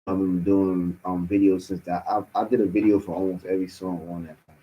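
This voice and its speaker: A man's voice: sits low, little pitch movement, fast talker, warm tone, lo-fi sound. A man, a rapper guest talking in a laid-back way with loose slang in a studio interview. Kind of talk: casual interview.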